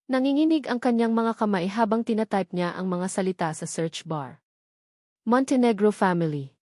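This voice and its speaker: Filipino woman, audiobook narrator. Mid-pitched, dry studio sound, dramatic and deliberate phrasing. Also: a little mellow, no echo. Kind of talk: dramatic scripted story narration.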